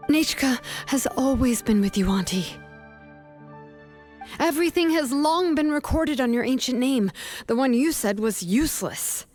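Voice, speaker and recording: An actress playing a resolute, compassionate young craftswoman in a video game, with a measured, earnest delivery. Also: high-pitched, darkish tone. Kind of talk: dramatic video game voice acting.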